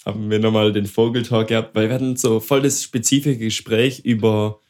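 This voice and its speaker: Podcast co-host, man, lowish voice, scratchy from a cold, loose slangy storyteller. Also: fairly even intonation, a little fast, a little mellow. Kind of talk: casual chat.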